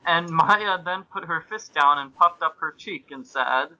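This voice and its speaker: A man's voice: fairly high pitch, measured pace, pushed and bright, muffled recording. A man hosting and narrating a comic amateur reading of fan fiction, in an amused, mock-serious way. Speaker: podcast host and narrator.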